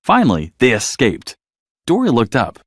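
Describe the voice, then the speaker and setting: A man reading a children's bedtime story as narrator, in an expressive, scripted storytelling style. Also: medium pitch, moderate pace, no room sound, clean studio sound.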